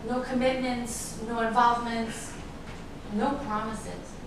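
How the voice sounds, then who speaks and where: Woman acting guarded seductive lover, echoey, highish. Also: little pitch movement, takes it slowly. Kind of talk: staged play reading. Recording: echoey room from far off, noisy.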